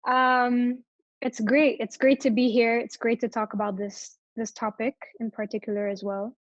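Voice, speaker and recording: A woman's voice: on the high side, level tone, moderate pace, no room sound, lo-fi sound. A young woman from Morocco, a guest talking casually in an interview and hesitating often with fillers and restarts. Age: young adult.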